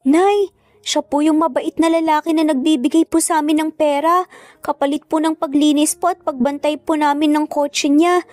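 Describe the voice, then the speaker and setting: A woman's voice: high-pitched, fairly even intonation, normal speed, darkish tone, no echo, pitched above the performer's own voice, lightly acted. A woman voicing a polite, earnest young boy who pleads respectfully in a narrated family drama. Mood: eager, hopeful. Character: polite, pleading young boys, worried for their mother.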